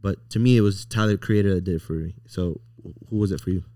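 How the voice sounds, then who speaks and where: A man's voice: low voice, fast talker, dark tone, dry recording, clean studio sound. A young adult man with an American accent, hosting a casual conversation in informal, slangy speech. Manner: informal, slangy talk peppered with "bro" and "like". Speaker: podcast host.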